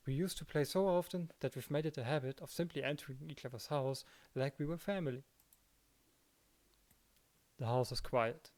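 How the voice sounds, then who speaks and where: A man's voice: medium pitch, darkish tone. A man reading first-person visual novel narration and the protagonist's lines in a dramatized way, with music behind him.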